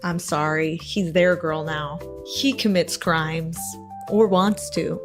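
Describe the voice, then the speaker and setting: A woman's voice: fairly low pitch, fairly even intonation, slow, over music. A woman in a short social video, giving teasing, mock-serious warnings.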